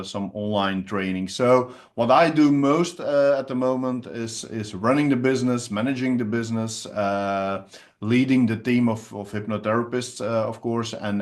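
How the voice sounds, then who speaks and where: A middle-aged man with a Belgian Dutch accent, a native Dutch speaker, chatting in an interview about his hypnotherapy work, pausing often with fillers and restarts. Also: on the low side. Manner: hesitant, many fillers and restarts. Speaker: hypnotherapist and trainer. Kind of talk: casual interview chat.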